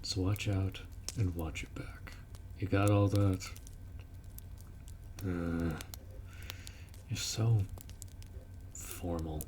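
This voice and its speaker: A man's voice: low-pitched, fairly even intonation, very slow, long pauses, mellow, slight background noise. A man voicing a blunt, protective orc warrior who speaks informally and firmly in a roleplay audio drama. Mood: stern, instructing. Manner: blunt, informal, firm, instructing.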